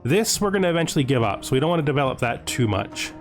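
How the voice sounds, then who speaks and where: A man giving casual video game commentary, thinking aloud as he deliberates his choices. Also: mid-range voice, big pitch swings. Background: music.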